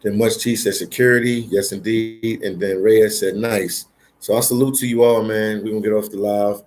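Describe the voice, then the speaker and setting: A man's voice: fairly low pitch, fairly even intonation, normal speed, darkish tone. A middle-aged American man hosting a casual livestream, reading viewer comments aloud in a laid-back, slangy, teasing way. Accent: American English.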